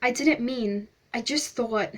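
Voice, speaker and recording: A polite teenage boy speaks earnestly and a little hesitantly, read by a woman. His voice sits fairly high and eager. He sounds young and unsure of himself. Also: takes it slowly.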